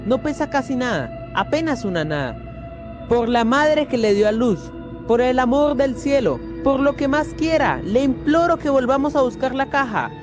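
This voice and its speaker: A man playing a frantic, desperate male passenger who pleads loudly and stubbornly in an amateur radio drama. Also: very high-pitched, expressive, normal speed, dull audio, music in the background, pitched above the performer's own voice. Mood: frantic pleading. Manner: vociferous, imploring.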